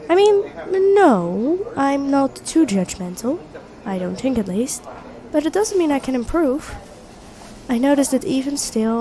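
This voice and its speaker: High-pitched, chatty young female best friend, acted by a woman. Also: warm tone. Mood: thoughtful, earnest. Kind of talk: intimate ASMR roleplay acting, one side of a conversation.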